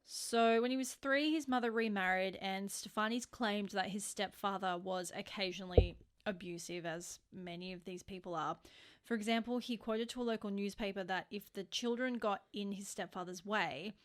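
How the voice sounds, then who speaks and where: A woman's voice: mid-range voice, normal speed. Australian woman telling true crime casually. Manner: informal, sweary. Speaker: true crime podcast co-host. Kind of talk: conversational true crime storytelling.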